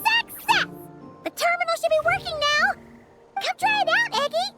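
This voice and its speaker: A woman voicing a tiny, excitable, childlike fairy companion in a video game, chirpy and full of exclamations. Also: extremely high pitch, moderate pace, over music. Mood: excited. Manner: chirpy, exclaiming, refers to herself in third person. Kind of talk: animated video game voice acting.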